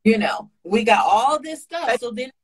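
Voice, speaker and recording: A woman's voice: fairly high pitch, big pitch swings, measured pace, breathy edge. A woman guest on a video-call interview, speaking in a passionate, preachy way and stacking repeated phrases for emphasis. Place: home kitchen indoors. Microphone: webcam on a video call.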